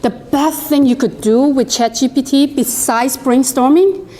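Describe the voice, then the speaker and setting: Chatty high-voiced woman with an accent. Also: a little slow. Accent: non-native English accent. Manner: chatty, animated, laughs often, talks to the audience directly. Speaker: workshop presenter. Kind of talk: live software demo to a room.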